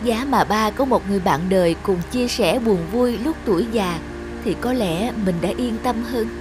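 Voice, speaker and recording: A woman's voice: mid-range voice, normal speed, natural voice. A woman playing a regretful, loving grown daughter in a cartoon drama, earnest and sorrowful. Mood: wistful worry. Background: music.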